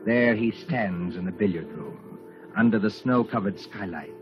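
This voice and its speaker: A man narrating a 1940s radio suspense show in a solemn, measured, theatrical way. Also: on the low side, expressive. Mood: ominous, measured. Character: ominous male narrator of a suspense tale. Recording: dull old 1940s radio broadcast. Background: loud music.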